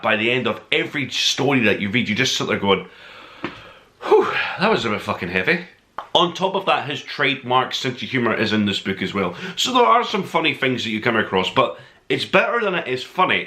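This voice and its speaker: A man with a bright, forceful voice at a medium pitch, hosting a book review show. He talks informally and with gushing enthusiasm, putting heavy stress on the words that matter to him. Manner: gushing, emphatic, slows down to stress key words. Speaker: book review podcast host.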